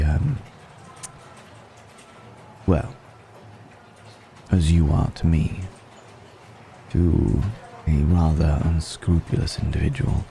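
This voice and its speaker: A man voicing a suave, menacing vampire noble in an intimate ASMR roleplay, speaking in a genteel, teasing way with drawn-out pauses. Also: a bass voice, gravelly, warm tone, slight background noise, heavily acted. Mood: musing, recounting. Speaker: voice actor.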